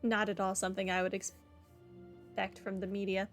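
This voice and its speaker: A woman's voice: mid-range voice, little pitch movement, moderate pace. A woman giving casual, playful commentary as she plays a video game, reacting to it as she goes. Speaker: gamer recording a playthrough. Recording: game music behind.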